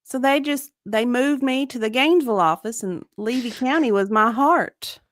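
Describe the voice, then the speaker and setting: A woman's voice: a little high, normal speed, no echo, studio quality, close to an everyday voice. A woman acting the part of an evasive, defensive victim advocate under deposition, hesitant and clipped in a dramatic reading. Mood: earnest.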